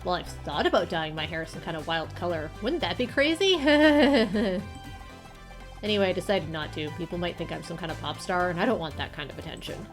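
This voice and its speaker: A nerdy young man acted out by a woman from a visual novel game, pompous about his obsessions and quick to get defensive. He is nervous and fussy, stammering as he goes, with music playing behind him. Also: fairly low pitch, animated, close to an everyday voice. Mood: sheepish, excited.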